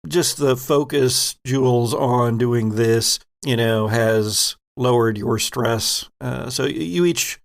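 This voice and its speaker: A man narrating as game master of a tabletop game in a measured, descriptive way. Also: medium pitch, gravelly.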